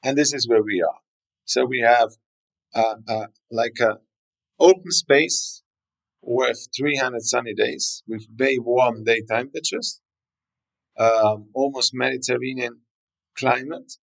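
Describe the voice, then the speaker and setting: A man's voice: medium pitch, unhurried, creaky, poor audio. A man from South Tyrol with a German accent, a native German speaker, explaining his region as an interview guest with frequent restarts and fillers. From South Tyrol, Italy. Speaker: winery guest. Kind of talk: conversational interview.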